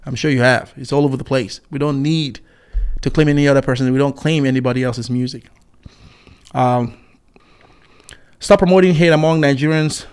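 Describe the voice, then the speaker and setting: A man's voice: mid-range voice, normal speed, long pauses, a little mellow, clean tone. Rambling man in his forties. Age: 40s. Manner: rambling, scornful, many fillers and restarts. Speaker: YouTube commentator. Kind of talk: casual commentary reading viewer comments.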